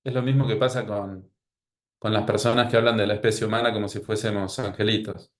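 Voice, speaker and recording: Male psychologist guest with a Rioplatense accent, lowish voice, wry and anecdotal. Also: steady tone, a little fast. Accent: Rioplatense Spanish. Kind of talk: podcast interview.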